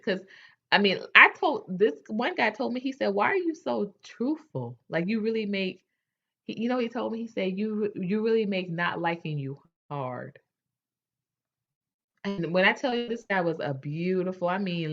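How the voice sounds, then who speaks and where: A woman's voice: medium pitch, fairly bright tone, lo-fi sound. A woman hosting a casual chat, candid and chatty, often restarting her sentences. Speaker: host.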